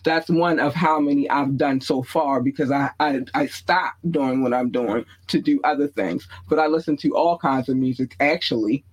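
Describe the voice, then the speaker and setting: A man's voice: mid-range voice, normal speed, few pauses, husky, rough recording. A man, co-hosting a casual hip-hop podcast, talking bluntly with slang and a frequent "you feel me.". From born in North Carolina, raised in Baltimore. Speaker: podcast co-host. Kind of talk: casual chat.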